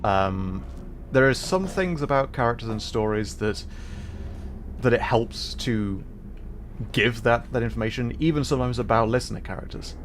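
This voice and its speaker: A man hosting a casual game livestream, talking in halting, hesitant phrases with pauses. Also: medium pitch, lively intonation, a little hiss or hum. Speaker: livestream host.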